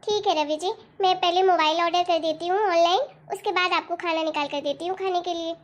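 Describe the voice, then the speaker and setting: Very high-pitched whiny cartoon wife acted by a woman. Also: steady tone, a little fast. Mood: demanding. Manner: whiny, pleading, insistent. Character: demanding, dramatic young spendthrift wife. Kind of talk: amateur Hindi cartoon story dialogue.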